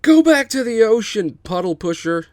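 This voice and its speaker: A man's voice: high voice, sing-song, normal speed, dry recording, clean studio sound, pitched above the performer's own voice. A man voicing a bigoted, hostile firebender bully in a scripted table read. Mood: jeering.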